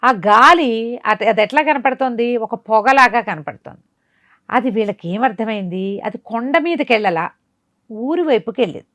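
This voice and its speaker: A woman telling a folk moral tale in a conversational, dramatic way, pausing for asides and rhetorical questions. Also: fairly high pitch, animated, a bit bright, no echo. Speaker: storyteller. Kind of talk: animated oral storytelling of a folk moral tale.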